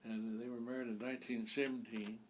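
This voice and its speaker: A man's voice: medium pitch, level tone, a little fast, a little hiss or hum, poor audio. An eighty-year-old man from Virginia, recalling his family's farm life in an oral history interview. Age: 80s.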